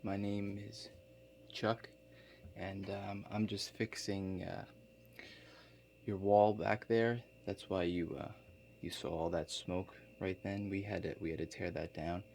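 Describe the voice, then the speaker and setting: A man playing a laid-back construction contractor in a soft-spoken ASMR role-play, talking in a halting, matter-of-fact way. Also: low-pitched, level tone, creaky, exaggerated voice. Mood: calm, matter-of-fact. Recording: faint background noise.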